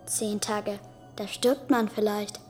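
A woman's voice: mid-range voice, fairly even intonation, slow pace, breathy edge, soft background music. A woman playing a wary but bold, questioning eleven-year-old abducted girl in a German radio drama. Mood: anxious, probing.

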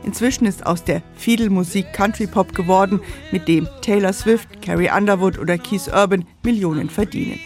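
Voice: mid-range voice, moderate pace, hardly any pauses, faint music behind. A narrator reading a scripted radio history feature.

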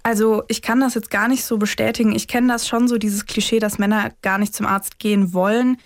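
A woman's voice: medium pitch, little pitch movement, fairly quick, no room sound. Woman podcast co-host, dry close recording. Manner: colloquial, conversational. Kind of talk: casual true-crime podcast discussion.

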